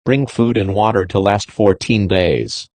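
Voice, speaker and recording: A man's voice: fairly low pitch, measured pace, a little mellow, dry recording. A man delivering a scripted emergency broadcast announcement in a formal, terse, official manner.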